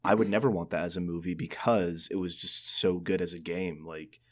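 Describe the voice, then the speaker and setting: A man's voice: low voice, fairly even intonation, normal speed. A man co-hosting a podcast, chatting informally and giving his opinions on games. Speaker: podcast co-host. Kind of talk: casual podcast chat about games.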